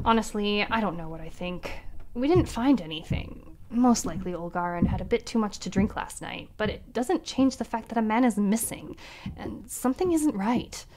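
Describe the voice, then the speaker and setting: An actress playing a weary female ship captain recording her log in an audio drama, measured and uneasy. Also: mid-range voice, animated, a little mellow, lightly acted. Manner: measured, tired, increasingly uneasy.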